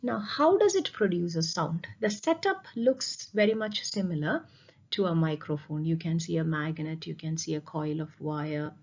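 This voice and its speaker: A woman with a slightly low, slightly husky voice teaches a science lesson over slides. She explains step by step, repeats the key points and asks rhetorical questions. The recording is dull and lo-fi. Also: animated, normal speed. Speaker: physics teacher.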